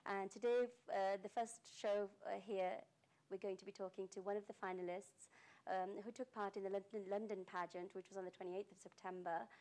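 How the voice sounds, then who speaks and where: A woman's voice: mid-range voice, fairly even intonation, a little fast, darkish tone. A middle-aged woman hosting an interview, chatty, laughing often and filling her speech with ums. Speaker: host.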